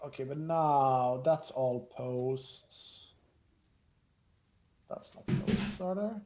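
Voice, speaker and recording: A man in his thirties talking in a relaxed, wry way while he plays, thinking aloud as he goes. His voice sits mid-range and rings in an echoey room, with a faint hiss underneath. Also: medium pitch, extremely slow. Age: 30s. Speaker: streamer. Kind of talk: casual chat while gaming.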